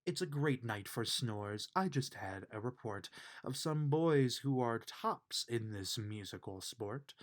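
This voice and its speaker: A man reading a rhyming children's bedtime book aloud in an expressive, sing-song way. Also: mid-range voice.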